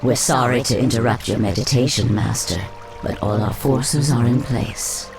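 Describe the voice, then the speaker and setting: A deferential lieutenant from a fantasy game, played by a man. He speaks formally at medium pitch with a creaky edge. Music is clear behind him. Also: normal speed, close to an everyday voice. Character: deferential fantasy lieutenant addressing his master. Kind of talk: fantasy video game acting.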